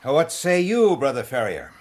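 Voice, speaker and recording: A man's voice: mid-range voice, very animated, a little slow, a little mellow. An actor playing an arrogant, threatening rich young churchman in a radio drama, with a haughty manner. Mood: smug.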